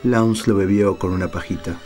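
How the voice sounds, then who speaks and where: A male narrator with a fairly deep voice reads dramatic literary fiction. His delivery is scripted storytelling, and music plays underneath. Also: fairly even intonation, normal speed, darkish tone.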